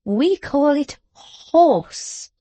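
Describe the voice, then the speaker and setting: A woman voicing a pompous, condescending middle-aged Englishman who talks slowly and over-explains, as to a deaf child. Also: a little high, expressive, a little mellow, dry recording, pitched above the performer's own voice, exaggerated voice.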